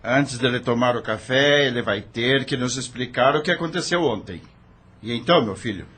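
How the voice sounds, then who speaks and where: A man's voice: medium pitch, normal speed, a bit bright, slightly rough. A man playing a stern middle-aged father and doctor in an audio drama, questioning sharply. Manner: stern, interrogating. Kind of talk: radio drama acting.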